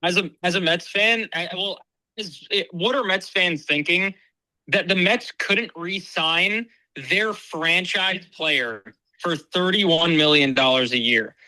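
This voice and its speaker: A man calling in to a sports podcast. He has a high, very bright voice, stammers at first and then builds through rhetorical questions. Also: slow pace, no echo, rough recording. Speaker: caller. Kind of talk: call-in opinion.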